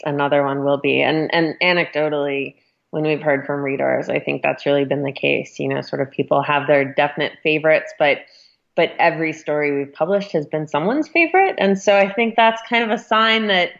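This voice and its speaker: Woman, deep-pitched voice, thoughtful explanatory delivery, interview guest, dull lo-fi recording. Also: normal speed, vocal fry. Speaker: magazine editor, interview guest. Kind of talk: conversational interview.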